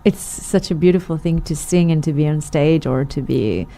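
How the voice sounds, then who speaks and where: Woman, lowish voice, halting speech. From Israel. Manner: hesitant, many fillers and restarts, animated storytelling. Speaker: guest, singer. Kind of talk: interview conversation.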